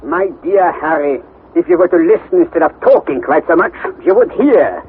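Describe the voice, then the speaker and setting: An actor playing an eccentric, impetuous, middle-aged professor, exuberant and declamatory in a 1960s radio drama. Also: male voice, on the high side, animated, normal speed, natural voice. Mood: chiding. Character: eccentric, impetuous, courageous German professor uncle. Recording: tinny, noisy 1960s radio recording.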